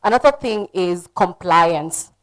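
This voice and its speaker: Earnest Kenyan woman panelist, lowish voice. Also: animated, slow pace. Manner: direct, advising, earnest. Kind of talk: conference panel talk.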